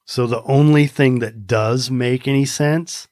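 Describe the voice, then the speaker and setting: A man's voice: medium pitch, steady tone, unhurried, a little mellow, dry recording, clean studio sound. An American man talking earnestly in a casual conversation, rambling a little with restarts. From United States.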